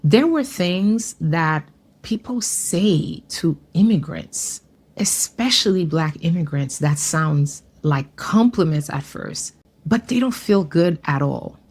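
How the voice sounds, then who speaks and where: A woman from Haiti giving an earnest, measured personal testimony. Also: low-pitched, expressive, darkish tone, husky.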